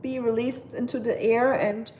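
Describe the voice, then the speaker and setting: Brief: young German-accented woman, raised voice, slow easygoing delivery, sarcastic chat host over loud chopping. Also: fairly high pitch, fairly even intonation. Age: young adult. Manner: chatty, dry sarcastic humor, answers viewer comments. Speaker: cooking streamer. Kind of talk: casual live-stream chat while cooking. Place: home kitchen. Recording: knife chopping noise behind.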